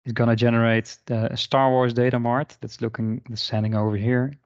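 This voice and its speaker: A man narrating an unscripted screen-share software tutorial in an informal, explanatory way. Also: medium pitch, level tone, moderate pace, no echo, dull audio. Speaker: software demonstrator. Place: indoor voice-over for screen recording.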